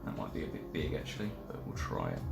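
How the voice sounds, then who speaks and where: A man's voice: extremely low pitch, huge pitch swings, fast talker, creaky. A man chatting casually on a live stream while painting and building a case, rambling and self-deprecating as he works. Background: faint music.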